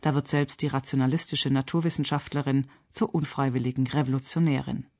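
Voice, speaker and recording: A woman's voice: rumbling low, fairly even intonation, a little fast, mellow. Articulate woman reading a radio review. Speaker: radio theatre critic. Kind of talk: scripted radio review narration.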